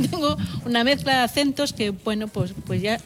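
A woman's voice: on the high side, expressive, moderate pace, music in the background. A woman from Madrid with a Madrid accent, speaking as a pharmacist guest in a chatty radio interview and laughing easily. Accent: Madrid Spanish mixed with local Almería. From Madrid, Spain.

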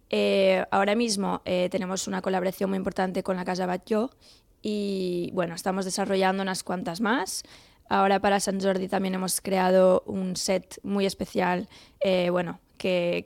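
A woman's voice: medium pitch, vocal fry, darkish tone, dry recording. A woman from Portugal, a company founder, answering interview questions hesitantly with many fillers. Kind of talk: radio interview.

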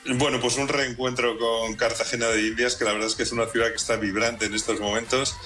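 A man's voice: mid-range voice, flat delivery, fast talker, sharp tone, faint music behind. A man contributing to a radio travel show, speaking eagerly and descriptively with plenty of fillers. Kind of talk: radio conversation.

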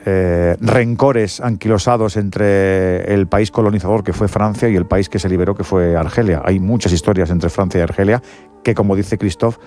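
A man's voice: sits low, fairly even intonation, normal speed, a little mellow. A man with a Castilian Spanish accent hosting a live sports radio show, speaking emphatically and repeating words as he searches for phrases. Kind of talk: live radio interview and commentary.

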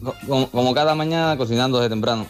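A man with a Cuban accent chats casually as a radio guest on the phone. His voice is mid-pitched and his talk quick but stop-start, with faint music behind. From Cuba. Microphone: telephone. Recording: telephone call over music bed. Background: background music.

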